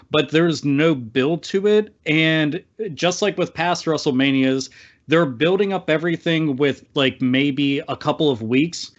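Blunt, slangy man with slightly dull audio. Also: on the high side. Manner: blunt, heavy swearing, slangy. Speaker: podcast panelist. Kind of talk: casual opinionated chat.

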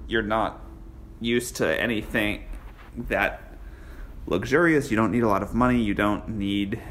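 A man answering podcast interview questions in a halting way, full of restarts and fillers. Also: on the low side, fairly bright tone, a little hiss or hum. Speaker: interview guest.

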